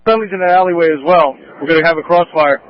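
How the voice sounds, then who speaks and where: Quick talking cop guy, slightly high voice, terse reports over muffled scanner radio. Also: dry recording, soft background music. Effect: police radio. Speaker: police officer on scene.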